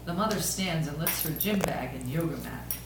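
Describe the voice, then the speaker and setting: A woman's voice: deep voice, animated, moderate pace. A woman reading scripted stage narration at an amateur table read. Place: echoey room, recorded from a distance. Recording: very echoey room, distant mic, clear noise.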